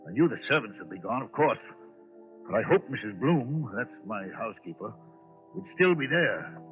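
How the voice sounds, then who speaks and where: A man's voice: mid-range voice, big pitch swings, normal speed, faint music behind, close to an everyday voice. An actor playing an irritable, haunted wealthy man with a clipped, agitated delivery in 1940s radio thriller style. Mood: haunted, uneasy. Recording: muffled old radio broadcast recording.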